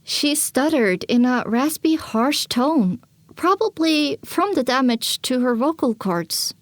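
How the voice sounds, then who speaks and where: Female narrator, dramatic first-person storytelling, unhurried, dry close sound. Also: high voice, animated, no room sound.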